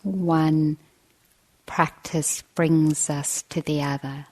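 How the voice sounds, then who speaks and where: Female meditation teacher, low and fairly even in tone, gentle, measured delivery with generous pauses. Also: rough recording. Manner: gentle, measured, with long pauses between short phrases. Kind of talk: guided meditation dharma talk.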